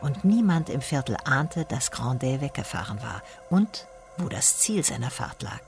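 A man's voice: mid-range voice, big pitch swings, normal speed, vocal fry, over music. A man reading scripted literary narration in a radio drama.

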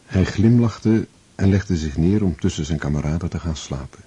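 A man narrating a radio drama in a measured, formal, literary storytelling manner. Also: low-pitched, warm tone, breathy edge, dry recording, muffled recording. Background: music.

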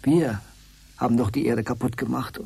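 Gravelly, husky, hesitant space traveller. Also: male voice, mid-range voice, fairly even intonation, normal speed, warm tone. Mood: wistful, disillusioned. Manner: hesitant, stammering, repeats words. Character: flustered, world-weary male space traveller from Earth, bound captive. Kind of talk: German radio drama acting.

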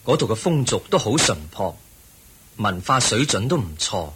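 A low-voiced, serene male spiritual teacher in a Cantonese radio drama. He instructs in a measured Hong Kong Cantonese, with lively rises and falls. A faint noise sits behind the voice. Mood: serene, instructive.

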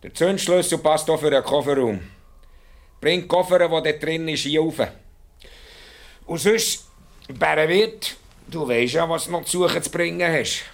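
An actor playing a rich, stubborn, vengeful villager with a Swiss German accent, giving curt orders in a radio drama. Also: male voice, fairly high pitch, normal speed, vocal fry, pauses often. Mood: commanding. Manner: curt, unmoved, gives flat orders. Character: rich, vengeful returned villager, cold, stubborn, commanding man.

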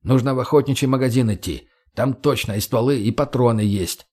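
A man's voice: medium pitch, fairly quick, warm tone, lightly acted. A man playing a burly, blunt, crude sidekick in an audiobook, with a coarse, slangy, teasing manner. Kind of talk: audiobook character voice.

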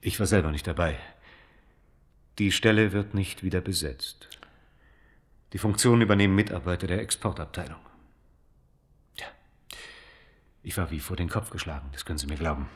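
An actor playing a slippery, self-righteous swindling official in a German radio play, officious and evasive. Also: male voice, sits low, normal speed, pauses often, close to an everyday voice. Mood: feigned regret.

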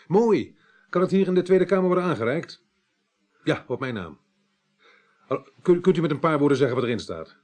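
An actor playing a businesslike, matter-of-fact police detective in a Dutch radio drama, speaking colloquially with slight hesitations. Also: male voice, a little high, very animated, a little fast, long pauses, a little mellow. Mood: brisk, businesslike. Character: businesslike male police detective reporting on a case. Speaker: police investigator.

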